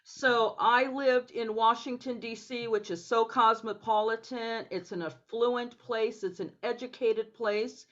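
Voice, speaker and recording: A woman dance educator telling her story as a guest on a video call interview, speaking in a measured, deliberate way. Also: fairly high pitch, fairly even intonation, a bit bright, lo-fi sound.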